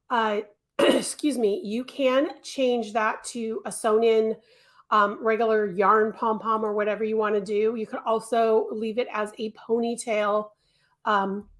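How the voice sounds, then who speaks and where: A high-pitched woman in middle age chats casually on a livestream, her voice fraying as she loses it. She rambles warmly with frequent ums and restarted sentences. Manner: chatty, many ums and restarts. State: losing her voice. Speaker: crochet designer hosting a livestream.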